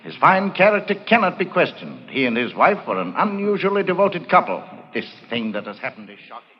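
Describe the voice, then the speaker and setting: An actor playing an earnest doctor testifying formally and emphatically in a 1940s radio courtroom drama. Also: male voice, fairly high pitch, very animated, brisk, husky. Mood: indignant. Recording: echoey, dull, noisy old radio recording.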